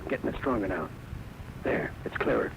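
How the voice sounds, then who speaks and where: An actor with an American accent playing an intent navy radio operator, terse and urgent, in 1940s radio drama. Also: male voice, lowish voice, lively intonation, a little fast, vocal fry. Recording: noisy 1940s radio recording.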